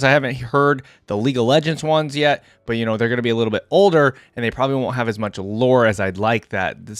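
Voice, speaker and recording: Man, somewhat low voice, informal reaction host, conversational commentary, thinking aloud, dry room sound. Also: dry recording. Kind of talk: casual commentary on game voice lines.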